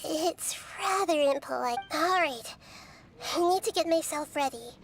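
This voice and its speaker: A woman voicing a gentle, wise, childlike deity in a video game, soft and girlish, with measured, articulate speech. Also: high-pitched, gravelly, faint music behind. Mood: gentle, reassuring. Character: gentle, wise child-sized female deity, composed and thoughtful. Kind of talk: video game character acting.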